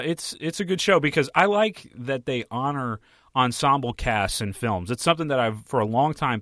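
A hoarse man, hosting a solo podcast and giving his opinions on films in a rambling, casual way. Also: medium pitch, a bit bright, dry recording, clean studio sound. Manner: rambling, opinionated, many fillers and restarts. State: hoarse from a flu. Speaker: podcast host and film critic.

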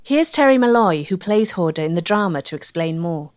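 Female narrator, clear and explanatory scripted documentary read. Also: fairly low pitch, animated, fairly quick, darkish tone.

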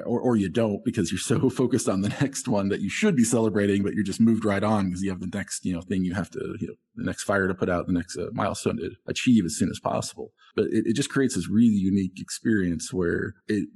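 A man's voice: low-pitched, darkish tone, slightly rough. A man in his late thirties or older co-hosting a podcast, giving earnest, rambling explanations in a casual conversational way. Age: late 30s or older. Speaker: podcast co-host and entrepreneur.

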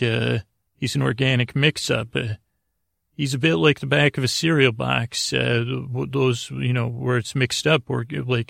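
Gravelly, creaky man rambling softly off-script. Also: mid-range voice, no echo, clean studio sound. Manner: meandering, halting, self-interrupting. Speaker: podcast host. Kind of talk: rambling bedtime sleep-podcast monologue.

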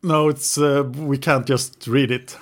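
A man's voice: medium pitch, fairly even intonation, slow pace. A male podcast host with a mid-range voice who leads the conversation. He explains history at length in a casual way and now and then teases his co-host. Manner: teasing, leads the talk in long explanations.

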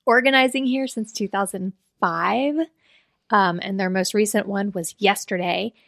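Podcast co-host, woman, allergy-raspy, chatty and amused, dry close sound. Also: mid-range voice, fairly even intonation, unhurried, fairly bright tone, dry recording. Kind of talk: casual chat.

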